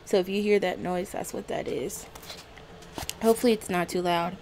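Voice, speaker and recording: A woman hosting a casual home video podcast, chatting to her viewers in a slightly raspy morning voice and apologizing often. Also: lowish voice, normal speed, slight background noise, low-quality recording. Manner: chatty, apologetic, easily excited. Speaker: podcast host. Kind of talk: casual chat to camera. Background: coffee maker running.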